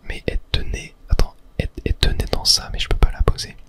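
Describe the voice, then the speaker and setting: Casting: whisperer for ASMR gaming, crisp bright whisper, unhurried murmured play-by-play. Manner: soft whispering throughout, relaxed murmured thinking aloud. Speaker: video game player and host. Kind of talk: whispered ASMR gameplay commentary.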